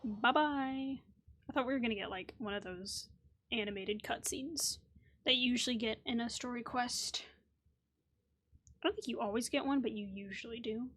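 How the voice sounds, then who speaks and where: Playful high-voiced woman gamer. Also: normal speed, fairly bright tone. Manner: playful, muses aloud to herself. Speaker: gaming video host. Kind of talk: casual let's play commentary.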